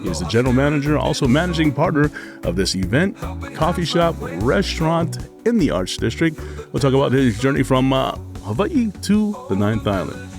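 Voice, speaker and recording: A man hosting a casual island music radio show, talking in a relaxed, halting way with many fillers. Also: medium pitch. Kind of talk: casual talk show interview. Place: studio.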